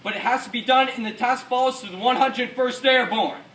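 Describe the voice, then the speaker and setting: High-pitched earnest paratrooper officer, acted by a man. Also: moderate pace, fairly bright tone. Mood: solemn, resolute. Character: male American WWII paratrooper officer, resolute, recalling battle. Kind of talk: rehearsed dramatic war monologue. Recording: distant echoey room, faint noise.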